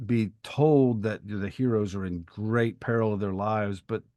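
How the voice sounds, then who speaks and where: Low-voiced, bookish middle-aged man. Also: a little slow, warm tone, no room sound. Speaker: podcast co-host and writer. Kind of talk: casual conversational discussion.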